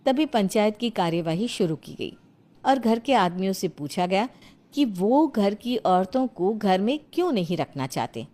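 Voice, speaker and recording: A woman's voice: medium pitch, big pitch swings, a little slow, warm tone. A woman reading scripted narration as the storyteller of a tale.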